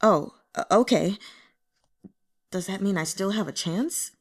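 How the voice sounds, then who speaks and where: A man's voice: sits high, a little slow. A woman voicing a humbled, eager male would-be author in an audiobook, hesitant and deferential. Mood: hesitant, hopeful. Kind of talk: audiobook character dialogue.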